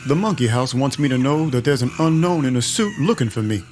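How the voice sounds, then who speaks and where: A man's voice: medium pitch, brisk, close to an everyday voice. Man acting wry, world-weary vampire detective over music. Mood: wry, reflective. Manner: wry, hard-boiled, deadpan. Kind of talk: noir first-person narration in an audio drama. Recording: music behind the voice.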